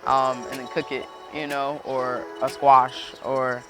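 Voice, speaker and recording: A man's voice: mid-range voice, flat delivery, slow pace. A man, an urban farmer, speaking reflectively as if in an interview. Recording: music laid under the voice.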